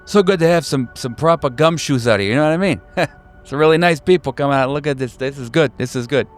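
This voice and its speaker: A man's voice: fairly high pitch, brisk, soft background music, voice pushed up, lightly acted. A man voicing a jovial, eager-to-please police detective who rambles, repeats himself and chuckles, in a tabletop roleplaying game. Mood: jovial, welcoming.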